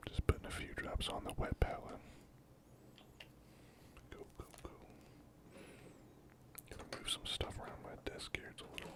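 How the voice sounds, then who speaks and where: Whispering man, casual ASMR. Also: a bit bright. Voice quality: breathy, unvoiced whisper. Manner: unhurried, halting, thinking aloud while working. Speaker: host of a miniature painting channel.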